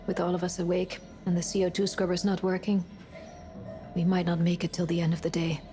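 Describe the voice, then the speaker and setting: Low-pitched, serious female spaceship officer, acted. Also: level tone, a little fast, close to an everyday voice. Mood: tense, serious. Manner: serious, matter-of-fact. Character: grave, analytical female spaceship crew officer. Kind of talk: cinematic game drama acting. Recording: music behind the voice.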